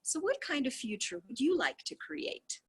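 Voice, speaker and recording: A woman's voice: sits high, sing-song, normal speed. A woman hosting a book read-along with casual asides, effusive and dramatically emphatic, drawing out words. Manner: effusive, gushing delivery. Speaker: host reading a book aloud.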